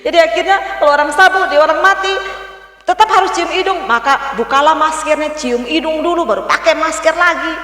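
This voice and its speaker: High-voiced woman preaching in an echoey church. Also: a little fast. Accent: Kupang Indonesian. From Rote, East Nusa Tenggara, Indonesia. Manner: conversational preaching with sudden drops and rises in pitch and loudness. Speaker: pastor. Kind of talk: sermon. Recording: echoey church hall, mic far off.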